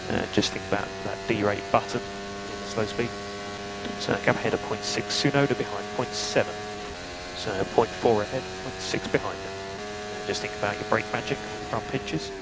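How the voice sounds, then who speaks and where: A man's voice: on the low side, steady tone, moderate pace. A man working as a race engineer, giving clipped, procedural updates over team radio. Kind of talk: terse race radio updates, calm reporting. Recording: noisy team radio, humming engine noise. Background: loud hum and vehicle noise.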